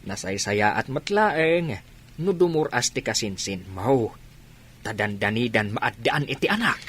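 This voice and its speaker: Older male actor as family man, husky voice, mid pitch with lively swings, everyday drama talk. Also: unhurried. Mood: conversational, earnest. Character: older father chatting about family and business.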